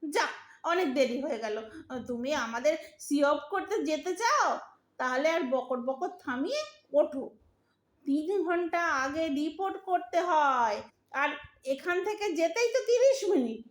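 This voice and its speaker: A woman playing an exasperated, sharp-tongued young married daughter in an audio drama, bossy and impatient, with a Bengali accent. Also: sits high, animated, moderate pace. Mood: indignant. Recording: roomy, echoing audio drama recording.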